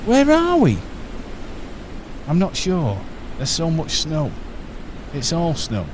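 An excitable young bear hero yelps in a high, squeaky voice, as a man puts him on. The radio play carries a clear hiss of noise underneath. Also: slow pace, full character voice. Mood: excited.

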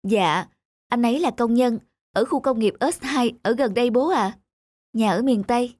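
A woman's voice: on the high side, normal speed, darkish tone, no echo, studio quality, close to an everyday voice. A woman voicing an earnest, lovestruck young student daughter in a cartoon. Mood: hesitant. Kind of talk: cartoon dub acting.

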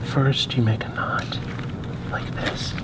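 A young man exploring an abandoned warehouse, talking casually on a handheld camera and often dropping to a whisper. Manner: slow, often hushed to a whisper. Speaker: urban explorer vlogger. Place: huge empty abandoned warehouse indoors. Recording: loud wind and site noise.

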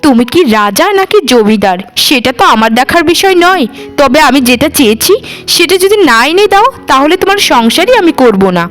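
A woman's voice: high voice, sing-song, a little fast. A woman voicing a greedy, nagging village wife in a cartoon, scolding and melodramatic. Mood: demanding, scolding. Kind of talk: Bengali cartoon voice acting. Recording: music behind the voice. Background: music.

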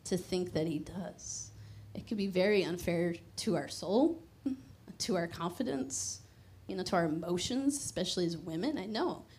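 A woman's voice: on the low side, moderate pace, a little hiss or hum. A woman, preaching a conversational, emphatic sermon into a handheld mic on a church stage. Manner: conversational, emphatic, drops to a hushed prayer.

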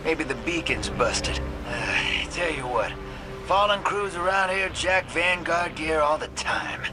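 An actor voicing a shady, streetwise rogue and survivor in a video game, with slangy, conspiratorial swagger. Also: male voice, on the high side, unhurried, over music, natural voice. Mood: brisk, conspiratorial. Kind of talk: video game character dialogue.

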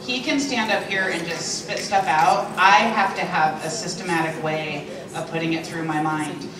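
A woman's voice: lowish voice, fairly even intonation, moderate pace. A middle-aged woman on a convention panel, talking in a frank, chatty and enthusiastic way into a handheld mic. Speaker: panelist, sales consultant. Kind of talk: panel discussion. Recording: echoey hall, distant, noisy.

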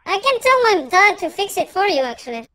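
Someone using a pitch-raising voice changer to play a bratty, boastful, foul-mouthed young boy trash-talking in an online game. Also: big pitch swings, brisk, heavily acted. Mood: boastful, chatty. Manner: whiny, swearing, shouty.

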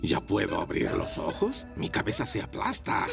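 Excitable cartoon motorbike, fretful and gasping, creaky voice, huge pitch swings, music behind. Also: normal speed, exaggerated voice. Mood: expectant. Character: excitable, panicky motorbike character Maxwell. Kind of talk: animated cartoon dub.

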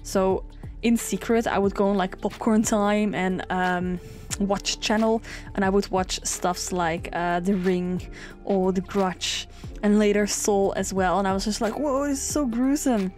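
A woman's voice: fairly even intonation, measured pace, darkish tone, soft background music. A young woman chatting casually over a build, rambling with lots of fillers. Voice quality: low singing voice. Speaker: sims speed builder.

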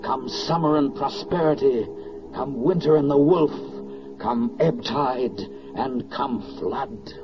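A man's voice: medium pitch, slow pace, close to an everyday voice. A man acting an earnest citizen reciting the lessons of war in a declamatory 1940s radio drama style. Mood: solemn. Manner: emphatic, declamatory. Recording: dull old radio recording with music.

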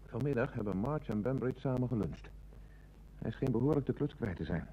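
A man playing a calm, polite, observant police detective in a Dutch radio play. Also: mid-range voice, a little fast, highs cut off, a little hiss or hum, natural voice. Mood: matter-of-fact.

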